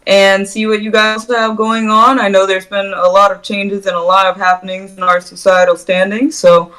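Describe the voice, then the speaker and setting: A woman's voice: medium pitch, moderate pace, clear, resonant tone, poor audio. A woman co-hosting a podcast, speaking eagerly in casual chat. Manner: eager, energetic. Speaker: podcast co-host.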